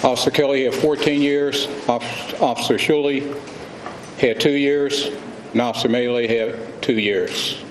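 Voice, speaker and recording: A man's voice: medium pitch, steady tone. Grave American man, echoey noisy room. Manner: grave, deliberate. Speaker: police chief. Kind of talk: formal police briefing. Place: press conference room.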